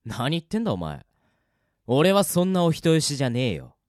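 A man playing a cocky, cruel death-game player in a Japanese radio drama, with rough, taunting, gloating speech. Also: a little high, huge pitch swings, normal speed, a little mellow, no room sound, studio quality. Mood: menacing taunting.

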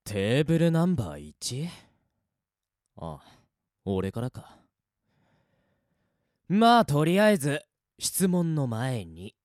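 Man playing a cocky, taunting death-game veteran, swinging pitch. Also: sing-song, slow, pauses often, darkish tone, no room sound, studio quality. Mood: smug, relaxed. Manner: rough, teasing, casual speech. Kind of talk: amateur audio drama acting.

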